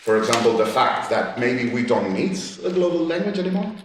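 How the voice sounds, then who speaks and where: A man, speaking as a panellist in a discussion, halting and restarting, repeating words as he searches for them. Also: medium pitch, very animated. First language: Catalan. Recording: echoey gallery room, distant mic.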